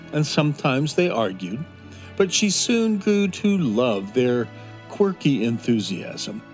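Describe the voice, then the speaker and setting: A man narrating a children's fantasy audio drama in a gentle, unhurried storytelling manner. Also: mid-range voice. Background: soft instrumental music.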